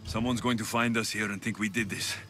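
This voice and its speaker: An actor playing a compassionate young Greek mercenary in a video game, speaking in an earnest, measured, plain way. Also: male voice, lowish voice, little pitch movement, fairly bright tone, natural voice. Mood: solemn, consoling. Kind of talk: video game dialogue acting. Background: game music.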